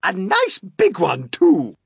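Brief: storybook aunt, bossy and excitable, very high, shrieking, wildly swooping delivery male performer. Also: takes it slowly, gravelly, slightly rough, no room sound, clean studio sound, heavily acted. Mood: insistent. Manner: shrieking, commanding exclamations. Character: shrill, bossy, excitable middle-aged aunt, a woman. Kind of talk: dramatic children's storybook character reading.

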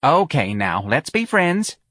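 A man's voice: fairly high pitch, big pitch swings, takes it slowly, fairly bright tone, no echo, clean studio sound, voice pushed up, heavily acted. A man voicing a tipsy, folksy mother of a grown son, chatting in a bar. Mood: coaxing.

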